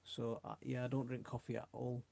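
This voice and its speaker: A man's voice: medium pitch, monotone, brisk, vocal fry, dark tone. A middle-aged man with a Yorkshire accent chatting casually and informally as the host of a live stream, rambling along and reading out comments in a chummy way. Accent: Yorkshire English. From South Yorkshire, England.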